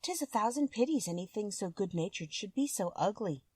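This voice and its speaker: A woman's voice: mid-range voice, lively intonation, a little fast, darkish tone, husky, no room sound. A woman voicing a kind, sincere young woman in a storybook, with earnest, polite, old-fashioned speech. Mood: pitying.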